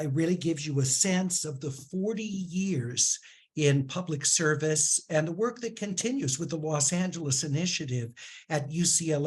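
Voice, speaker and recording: A man interviewing a guest in a live onstage book conversation, speaking in a measured way with fillers. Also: a little high, a little mellow, breathy edge.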